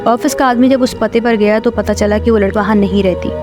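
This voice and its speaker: A woman's voice: on the high side, fairly even intonation, fairly quick. A woman reading a scripted horror story as its narrator. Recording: clear music bed under voice.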